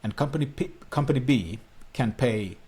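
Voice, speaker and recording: Finnish man, native Finnish speaker, marketing coach explainer, husky mid-pitched voice, earnest and plain. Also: lively intonation, normal speed, darkish tone. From Finland. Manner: earnest, plain non-native phrasing with small restarts. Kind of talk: talking-head explainer to camera.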